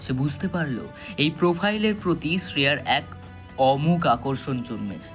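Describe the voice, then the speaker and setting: Suspenseful male storyteller, slightly high voice. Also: animated, moderate pace, fairly bright tone. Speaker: narrator. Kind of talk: dramatic scripted narration of a thriller story. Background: background music.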